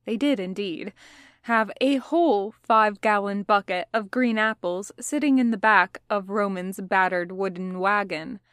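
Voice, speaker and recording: A woman's voice: mid-range voice, measured pace, fairly bright tone, smooth, no echo, clean studio sound. A woman reading scripted fiction as an audiobook narrator. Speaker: podfic narrator.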